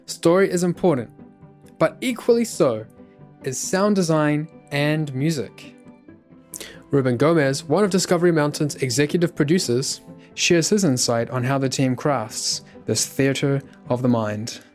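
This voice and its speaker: A man's voice: fairly high pitch, unhurried, darkish tone, faint music behind. A man reading scripted documentary narration as the host.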